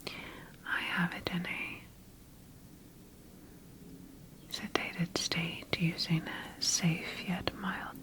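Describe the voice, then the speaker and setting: A woman playing a clinical sci-fi scientist in a whispered ASMR roleplay, dictating observations in a measured, breathy voice. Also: fairly low pitch, a bit bright. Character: clinical female sci-fi scientist studying her engineered creature.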